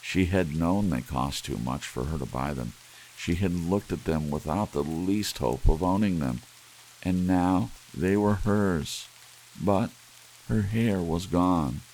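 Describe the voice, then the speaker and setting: A man narrating an audiobook story in a soft ASMR bedtime style. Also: sits low, slow, rough recording. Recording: audible hiss under steady rain sounds. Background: soft rain.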